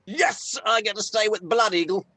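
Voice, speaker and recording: Man playing excitable starstruck bandmate, highish voice. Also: normal speed, full character voice. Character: excitable, starstruck metal band member. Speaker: table read performer. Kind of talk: informal script table read.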